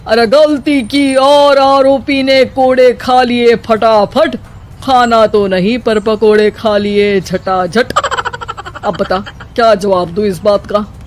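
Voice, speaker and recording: A woman's voice: fairly high pitch, fairly even intonation, slow, a little hiss or hum. Woman acting a plaintive, henpecked old father-in-law. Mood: troubled, resigned. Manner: plaintive, resigned. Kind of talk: animated story character acting.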